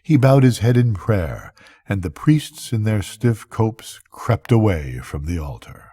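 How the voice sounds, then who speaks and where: An older man tells a bedtime story. His voice is mellow and on the low side, with a husky texture. He takes his time over the scripted lines. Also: very animated, a little slow, no room sound.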